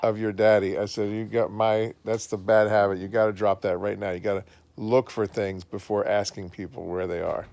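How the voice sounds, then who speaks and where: A man's voice: fairly low pitch, level tone, moderate pace, creaky, a little mellow, slight background noise. A man, a father chatting casually and encouragingly with his family at home. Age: adult.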